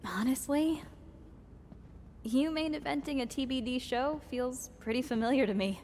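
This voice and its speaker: A woman's voice: high-pitched, moderate pace, darkish tone. A woman playing a busy, loyal indie wrestling promoter with a dry, understated manner in a video game cutscene. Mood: dry, amused. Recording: long hall reverb, background noise.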